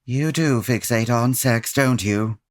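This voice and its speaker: An actor playing a composed, shrewd detective with a formal, dryly exasperated, erudite manner in an old-time radio drama parody. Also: male voice, mid-range voice, slow pace, no echo, clean studio sound, natural voice. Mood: scolding.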